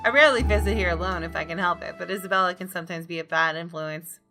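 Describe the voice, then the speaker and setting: Low-pitched woman narrating over music. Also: fast, bright, forceful tone. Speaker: gamer reading a visual novel aloud. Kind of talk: expressive narration of first-person game text.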